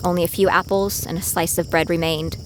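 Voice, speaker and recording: A woman's voice: on the low side, flat delivery, a little fast, noisy background, close to an everyday voice. An actress playing a determined young female bounty hunter in training, narrating her own story in an audio drama. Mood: tense, reflective. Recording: loud music bed behind voice.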